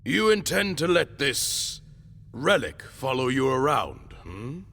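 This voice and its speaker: A man's voice: medium pitch, big pitch swings, takes it slowly, gravelly, a bit bright, full character voice. An actor voicing a stern, commanding older king who speaks to his daughter with authority in a video game cutscene. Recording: game score underneath.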